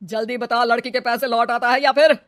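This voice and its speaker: A stern senior policeman in a moral story, played by a woman narrator. The voice is fairly high, commanding and full of scolding anger. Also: steady tone, fairly quick, a bit bright, dry recording, studio quality, close to an everyday voice. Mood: angry. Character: stern, angry senior male police officer.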